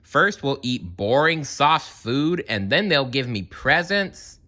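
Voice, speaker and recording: Man voicing an elderly, fretful lady unicorn, very bright. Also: mid-range voice, lively intonation, takes it slowly, pitched above the performer's own voice. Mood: grumbling, dreading.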